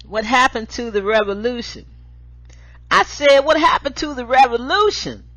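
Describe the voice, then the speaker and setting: A woman reciting spoken-word poetry as a show host, with emphatic, dramatic, deliberate phrasing. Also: on the high side, fairly bright tone, dry recording, poor audio.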